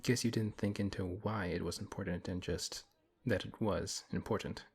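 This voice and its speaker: A very low, husky voice for a big, strong paladin, acted by a man in a fantasy audio roleplay. He talks one-to-one in a measured, earnest manner, pausing as he thinks. He comes across as principled and gently protective. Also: fairly even intonation. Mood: serious, questioning. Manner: measured, earnest, hesitant pauses.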